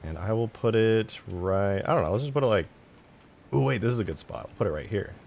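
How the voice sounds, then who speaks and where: A man's voice: fairly low pitch, animated, brisk, gravelly, darkish tone, a little hiss or hum. A man live streaming as he works on a game mod, chatting casually with viewers and muttering his steps aloud in fragments. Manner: thinks aloud in short fragments, muttering to himself while working. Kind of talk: casual live stream chat while working.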